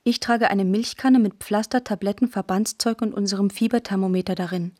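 A woman narrates scripted literary text for a radio feature. Her voice sits at a middle pitch, and she delivers the lines in short, plain-spoken phrases. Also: fairly even intonation, fairly quick, darkish tone.

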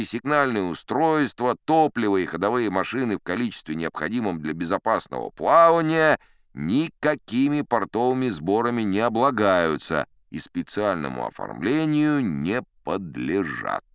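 Man as a pompous, animated sea captain. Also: lowish voice, clear, resonant tone, no room sound, clean studio sound. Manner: pompous, declamatory. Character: wily male sea captain, dignified, bluffing boldly.

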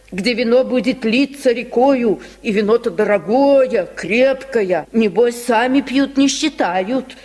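A man's voice: very high voice, darkish tone, pitched above the performer's own voice. A man voicing a poor old woman, sympathetic, gossipy and lamenting with indignation, in an old radio story recording. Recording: 1950s radio recording, long reverb.

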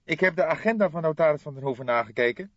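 A man reading an audiobook, voicing an excitable young police detective who questions eagerly and indignantly. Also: on the high side, fast talker, no echo, dull audio, voice pushed up. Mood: eager, thoughtful.

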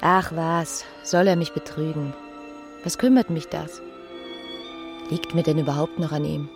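A woman's voice: low-pitched, fairly even intonation, unhurried, music in the background. An actress playing a passionate, bitter young married woman who broods in a literary radio drama. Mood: defiant. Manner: brooding inner monologue with emotional outbursts.

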